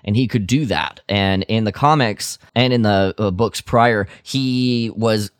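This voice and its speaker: Man, low voice, podcast co-host, casual explanatory talk, close dry recording. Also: a little slow, clear, resonant tone, dry recording. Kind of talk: casual fan discussion.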